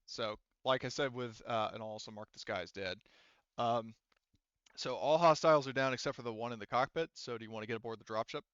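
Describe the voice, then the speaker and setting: A man runs a tabletop roleplaying game with a forceful, clear voice at a middling pitch. His narration is casual and informal, and the close, dry sound is slightly dull and lo-fi. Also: brisk, no echo. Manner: informal, occasional swearing. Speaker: game master.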